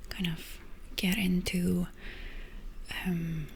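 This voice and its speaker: A woman whispering softly as she guides an ASMR energy-healing session in a loose, chatty way. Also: fairly low pitch, little pitch movement, drawn-out words, gravelly, slight background noise. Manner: soft unvoiced whisper, loose and chatty with many fillers. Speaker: energy healer and ASMR creator.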